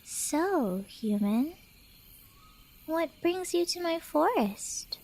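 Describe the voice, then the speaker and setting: Girl voice actor doing a playful cheshire cat girl, slow asmr roleplay, high voice, teasing and slangy. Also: animated, darkish tone, heavily acted. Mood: musing, inquisitive.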